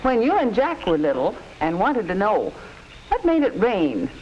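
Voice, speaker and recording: A woman's voice: mid-range voice, wildly swooping pitch, unhurried. A woman playing a sensible, practical middle-aged American mother of two teenagers in a 1950s educational film. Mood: explaining. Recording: muffled, hissy 1950s film soundtrack.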